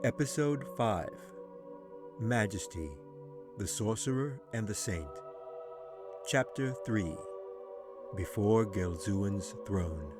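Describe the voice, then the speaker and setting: A man reading his fantasy audiobook as a storyteller, in a measured, solemn and theatrical manner. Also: lowish voice, expressive, music in the background. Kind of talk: dramatic fantasy storytelling, scripted narration.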